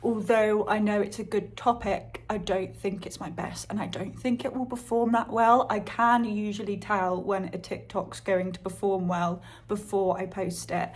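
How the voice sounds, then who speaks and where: A young woman vlogging to her phone on a tripod by a window in her flat, chatting casually and rambling with lots of fillers. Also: medium pitch, normal speed, hardly any pauses. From Spain. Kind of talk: casual vlog monologue to camera. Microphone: phone on a little tripod.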